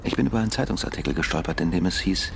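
Radio drama husband, worn down and confessing, deep creaky voice, deliberate pauses, noisy backdrop. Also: male voice, animated. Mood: regretful, insistent. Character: weary husband returned after years of captivity, confessing. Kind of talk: naturalistic German radio drama acting.

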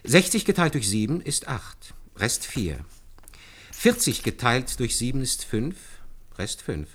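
A man's voice: medium pitch, big pitch swings, measured pace, breathy edge. An actor playing an earnest, deferential man who patiently explains hand arithmetic step by step in a German radio play. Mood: patient, assured. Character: earnest, deferential male calculator demonstrating hand arithmetic to superiors.

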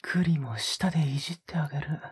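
A very breathy, husky male lover played by a male actor in a romantic audio drama. He is tender yet dominant, coaxing and teasing softly, often dropping into a whisper. The sound is dry and intimate. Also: fairly high pitch, moderate pace, no room sound. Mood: teasing, hushed.

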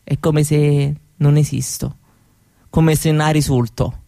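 A woman playing a candid, proud seventeen-year-old Roma girl in a radio monologue, with a Roman Italian accent and halting colloquial talk that often seeks agreement. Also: deep voice, fairly even intonation, vocal fry, dark tone, heavily acted. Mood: wistful, defiant. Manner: colloquial, halting, seeking agreement with little tag questions. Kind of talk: conversational theatrical monologue for radio.

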